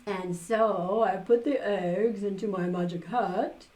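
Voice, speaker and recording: A woman voicing a greedy, bumbling male stage magician from a storybook in a loud, theatrical, declaiming way. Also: mid-range voice, slow, natural voice, poor audio. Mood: showy. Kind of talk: children's storybook character voice.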